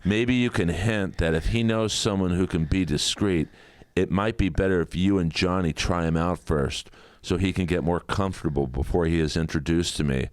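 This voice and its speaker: A man with a slightly deep voice hosts a comedy podcast. He talks in crude, casual banter and reads aloud in mock-dramatic fashion, joking and swearing freely. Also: moderate pace, no room sound. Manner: jokey, profane.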